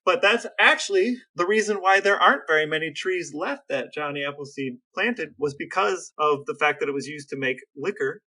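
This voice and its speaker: Bright-voiced man sharing facts. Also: on the high side, normal speed, hardly any pauses. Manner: explains facts at length, dry jokes. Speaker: podcast co-host. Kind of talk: casual chat.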